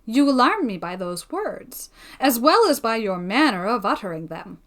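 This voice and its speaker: A woman reading a storybook voices a devoted, cautious gentleman suitor who pleads in formal period speech. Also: fairly high pitch, sing-song, normal speed. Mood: alarmed, imploring.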